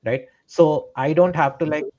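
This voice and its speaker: A man's voice: a little high, fairly even intonation, normal speed, a little mellow, highs cut off. A man, a trader explaining his strategy step by step and often checking in with "right?". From India. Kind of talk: live trading session explanation.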